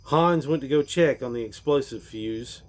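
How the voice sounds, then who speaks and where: A man's voice: medium pitch, moderate pace, a little mellow. A man reading a rhyming storybook aloud in a theatrical, emphatic manner, swelling and then dropping away at the ends of lines. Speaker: reader of a parody Christmas storybook.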